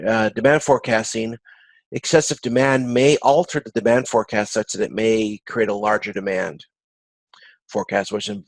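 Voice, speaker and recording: A man giving an informal online lecture as an instructor, explaining in a halting way and often rephrasing himself. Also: on the low side, no room sound, low-quality recording. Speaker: course instructor. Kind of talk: informal recorded video-conference lecture with slides.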